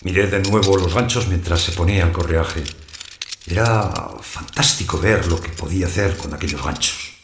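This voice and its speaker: An actor playing a lonely abandoned householder, narrating his story in a measured, plain way for an audio drama. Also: sits low, natural voice. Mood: subdued, reflective. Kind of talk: first-person literary narration in audio fiction. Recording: roomy echo, clear background noise.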